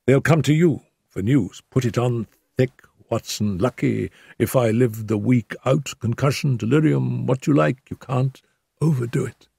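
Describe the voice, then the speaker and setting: A man voicing an injured detective who mutters in a weak, hoarse whisper, wry and scheming, in an audiobook. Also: mid-range voice, measured pace, warm tone, no echo, studio quality, pitched above the performer's own voice, full character voice. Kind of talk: audiobook character voice.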